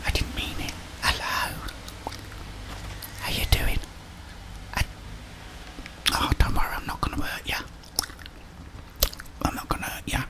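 A young man giving whispered ASMR game commentary in a breathy voice, murmuring short remarks to himself and his viewers. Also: unhurried, pauses often, poor audio. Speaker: gaming ASMR host. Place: small indoor room with plain wall.

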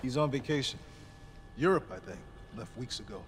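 A man's voice: mid-range voice, lively intonation, normal speed, noisy background, lightly acted. An actor playing an injured, selfless American police officer in a video game, urging and earnest as he speaks. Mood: weary, helpful. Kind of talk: video game cutscene voice acting.

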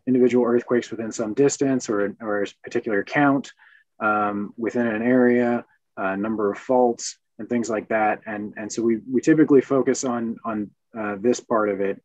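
A man's voice: fairly low pitch, steady tone, normal speed, a little mellow, no echo. Male panelist, hesitant and filler-heavy, dry and dull call audio. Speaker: regulator panelist. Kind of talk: panel discussion.

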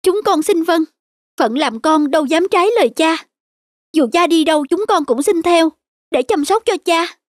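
A woman voicing a gentle, devoted young peasant wife in an animated folk tale, speaking with tender respect over music. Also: very high voice, normal speed, no echo, studio quality.

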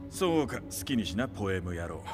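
An actor playing a seasoned middle-aged demon hunter in a game, nonchalant, curt and rough-spoken. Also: male voice, low-pitched, sing-song, normal speed, music in the background. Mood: cool, dismissive. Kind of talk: video game cutscene acting.

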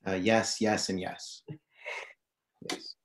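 A man on a video call panel, relaxed and plain-spoken. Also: low voice, slow pace, a little hiss or hum. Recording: echoey room on video call.

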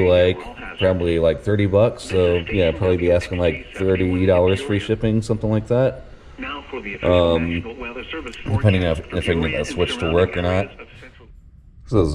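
A man vlogging in a casual, unscripted way, with a rambling, hesitant, matter-of-fact delivery. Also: sits low. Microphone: action camera worn or handheld. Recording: noisy action camera, traffic and ambient noise.

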